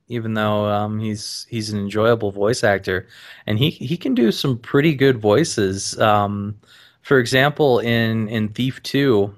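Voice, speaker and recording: A man hosting a casual podcast, talking in a measured way with many pauses and restarts. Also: lowish voice. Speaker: podcast host.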